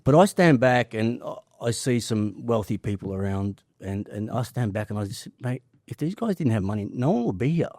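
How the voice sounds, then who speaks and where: A man's voice: lowish voice, lively intonation, normal speed, few pauses, a little mellow, no room sound. Male podcast host, casual storyteller, sweary and blunt, dry studio-close sound.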